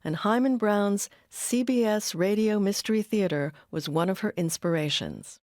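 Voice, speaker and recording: A woman reading scripted documentary narration in a measured, informative manner. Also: mid-range voice, animated, darkish tone, no echo, clean studio sound. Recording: faint music bed under narration.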